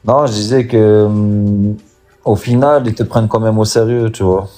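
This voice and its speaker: A man as a guest on a live online talk, speaking in a calm, supportive and measured way. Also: fairly low pitch, soft background music. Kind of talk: casual live chat.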